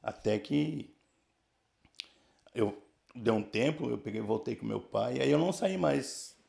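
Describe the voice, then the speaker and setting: Gravelly-voiced man in his sixties. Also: medium pitch, animated, a little mellow. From Brazil, São Paulo region. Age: 60s. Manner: halting, restarts, frequent tag questions. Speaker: church member giving testimony. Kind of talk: casual life-story telling.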